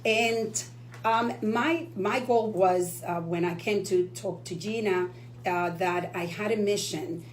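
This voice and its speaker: A woman's voice: medium pitch, takes it slowly, slight background noise. A woman from the Dominican Republic co-hosting a radio talk show, earnest and enthusiastic. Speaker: radio co-host.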